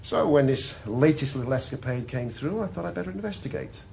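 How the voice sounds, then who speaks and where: A bullying police chief inspector in a 1980s radio drama. He talks in a cold, threatening way at a mid pitch. A faint hiss sits behind him. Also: animated, a little fast, vocal fry, darkish tone. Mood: sneering.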